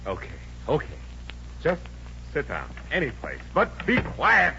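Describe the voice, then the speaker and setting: A man's voice: on the high side, huge pitch swings, measured pace, creaky, heavily acted. Harried, exasperated man, vintage radio. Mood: distracted. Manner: flustered, muttering, bursting into exasperation. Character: harried, exasperated bridge designer trying to work. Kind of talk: 1950s American radio comedy acting. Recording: noisy, muffled old radio recording.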